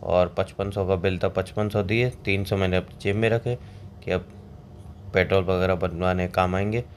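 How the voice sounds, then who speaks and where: A man's voice: low-pitched, steady tone, moderate pace, lightly acted. Man acting as a cheeky boyfriend, background noise. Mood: teasing storytelling. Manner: storytelling, argumentative, colloquial. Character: cheeky, broke boyfriend justifying himself. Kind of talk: scripted comic phone-call drama between a couple. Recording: clear background ambient noise.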